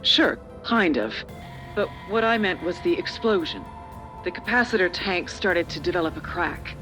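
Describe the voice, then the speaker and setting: A woman's voice: on the low side, lively intonation, fairly bright tone, faint music behind. A middle-aged woman playing a calculating, slightly condescending corporate official and parent in a dramatic video game. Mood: evasive, coaxing. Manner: measured, persuasive, slightly condescending. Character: older female corporate official and parent, calculating, persuasive, patronizing.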